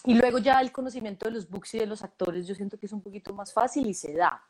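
A woman's voice: mid-range voice, fast, darkish tone. Woman casting director, chatty. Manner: thoughtful, full of fillers and restarts. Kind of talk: conversational interview answers.

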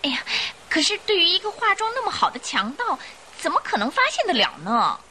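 An actress playing a lively, coquettish young lady passenger in a radio drama. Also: very high voice, a little fast, a little hiss or hum, exaggerated voice. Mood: curious, flustered.

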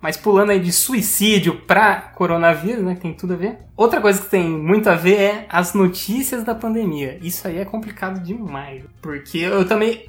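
A Brazilian woman co-hosting a podcast, chatting casually and throwing in sarcastic jokes. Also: on the low side. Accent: Brazilian Portuguese. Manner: joking, sarcastic asides. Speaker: podcast co-host. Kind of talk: casual chat.

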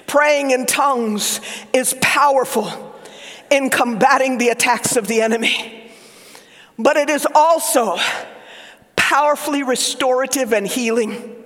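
A man from Oklahoma with a Southern accent, preaching a fervent sermon as pastor, rising to shouts and falling to hushed whispers. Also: very high voice, in a large hall. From Oklahoma, USA.

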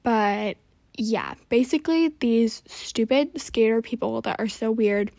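A teenage girl with a fairly high voice tells stories casually, as if chatting to friends. She rambles and doubles back, leaning on teen slang with an easily exasperated delivery. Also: unhurried, creaky, dark tone. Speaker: podcast host.

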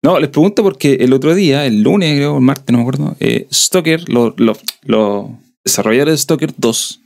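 A man's voice: medium pitch, moderate pace, creaky, darkish tone. A man from southern Chile with a Chilean accent, hosting a podcast in a rambling, chatty way. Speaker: podcast host. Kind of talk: casual podcast chat.

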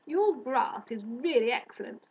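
Woman putting on a haughty, slow-spoken magical alien hare. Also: fairly high pitch, animated, a little mellow, dull audio, a little hiss or hum. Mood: lofty, disapproving. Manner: pompous, drawn-out emphatic delivery.

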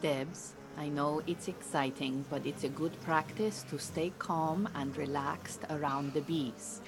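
A woman playing a firm, measured beekeeping teacher in an audio drama, giving instructions. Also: sits low, steady tone, background noise. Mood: calm, instructive.

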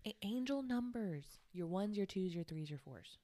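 A young woman from Texas co-hosting a casual podcast, playful and animated, swinging between loud and soft. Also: medium pitch, moderate pace, no echo. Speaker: podcast co-host. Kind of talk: casual chat.

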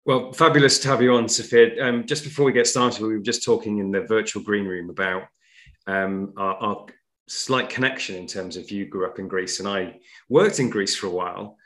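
A man's voice: on the low side, steady tone, normal speed, fairly bright tone. A man co-hosting a podcast over a call, friendly and rambling as he leads into a question. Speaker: podcast co-host. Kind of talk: casual interview questions.

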